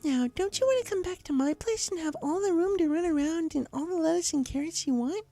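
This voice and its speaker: A man voicing a timid, gentle young female pegasus pony in a soft falsetto, polite and hesitant, in a story reading. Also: a little fast, no room sound. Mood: gently coaxing.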